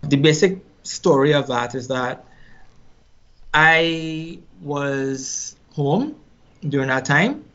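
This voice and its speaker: A middle-aged man from Trinidad and Tobago with a Trinidadian accent, telling his story in a casual interview, unhurried and reflective, often repeating words as he thinks. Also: fairly high pitch, expressive, fairly bright tone. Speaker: musician guest. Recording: slightly dull lo-fi sound.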